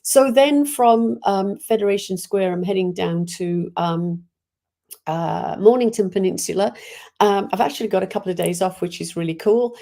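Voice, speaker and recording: A woman's voice: lowish voice, warm tone. A woman chatting casually and enthusiastically to viewers on a livestream, rambling from one thought to the next. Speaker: spiritual medium hosting a live online chat.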